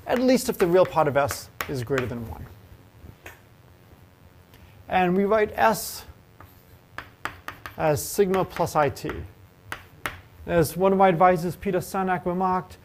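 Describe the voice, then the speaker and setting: A man's voice: a little high, noisy background. Man lecturing a math class, questioning. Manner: lively, asks the class questions and jokes. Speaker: math professor. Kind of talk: university lecture. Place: lecture hall.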